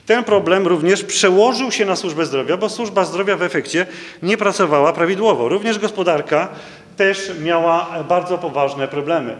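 A middle-aged man giving a conference talk at a stand microphone. He reads legal passages aloud in a halting way, with many fillers and restarts. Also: high voice. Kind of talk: conference lecture reading out court rulings. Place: conference hall, indoors, standing at a lectern. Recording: echoey hall, long reverb.

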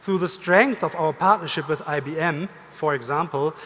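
Higher-voiced man presenting in a reverberant hall. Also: normal speed, sharp tone, a little hiss or hum. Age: middle-aged. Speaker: company executive, keynote speaker. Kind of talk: corporate keynote presentation. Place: large indoor conference stage. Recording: reverberant hall, stage amplification.

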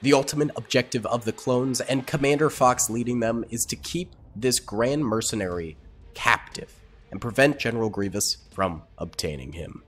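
A man's voice: mid-range voice, moderate pace, a bit bright, husky. A young man, sick and with a partly lost voice, giving casual upbeat commentary over a strategy game as the channel host. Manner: upbeat, chatty, talks to viewers.

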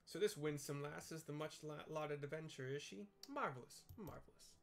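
A man's voice: a little high, brisk, vocal fry. A man voicing a courtly old former royal guard of a tiny fantasy folk, speaking with formal, archaic courtesy in a video game. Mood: delighted.

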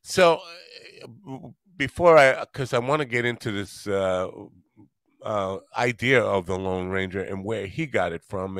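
A man's voice: mid-range voice, fairly bright tone, clear, resonant tone, no echo. An older American man chatting casually as the host of an interview show. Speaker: podcast host. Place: small home studio, indoors. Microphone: close studio microphone with headset.

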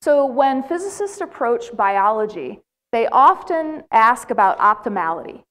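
A woman's voice: on the high side, a little slow. A middle-aged American woman giving a plenary lecture in a large lecture hall, telling stories and putting questions to the audience. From United States. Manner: animated storytelling with questions to the audience. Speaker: plenary speaker.

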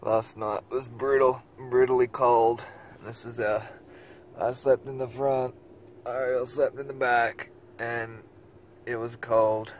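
A man's voice: mid-range voice, flat delivery, slow, a little hiss or hum. A young man, chatting casually to a handheld camera on a desert road trip, laid-back and jokey. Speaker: travel vlogger. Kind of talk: casual travel vlog chat. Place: outdoors in desert, car and motel room. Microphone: handheld camera at arm's length.

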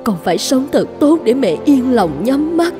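A woman's voice: high-pitched, sing-song, normal speed. A woman voicing a self-sacrificing poor mother. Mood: tender. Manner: pleading, tender. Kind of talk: cartoon dubbing. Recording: music playing under the voice.

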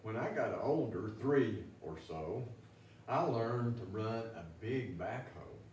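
A man's voice: fairly low pitch, animated, takes it slowly, a little mellow, very echoey. Older man, children's story read-aloud, distant mic, faint noise. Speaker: fire chief. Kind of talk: read-aloud of children's rhyming picture book. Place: fire station garage bay. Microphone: distant camera.

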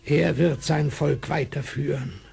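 Very raspy, creaky ancient chief. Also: male voice, on the high side, fairly even intonation, a little mellow, exaggerated voice. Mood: weary, solemn. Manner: slow, solemn, ceremonial delivery. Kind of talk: 1970s German radio play acting.

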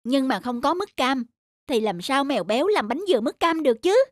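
A woman's voice: high-pitched, expressive, a little fast, no echo, studio quality. A woman voicing a sporty young boy, a teasing but caring older brother in a cartoon. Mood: caring, urging. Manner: casual, teasing. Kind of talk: cartoon voice acting. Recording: music bed under the voice.

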